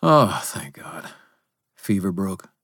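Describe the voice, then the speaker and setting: A worn-out grown man speaks low and tired, half muttering, as a male narrator voices him. His lines come a little quicker than the narration, and the sound is close and dry. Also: very animated, a bit bright, no room sound, heavily acted. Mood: weary. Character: weary, kindly grown man, wizard lord.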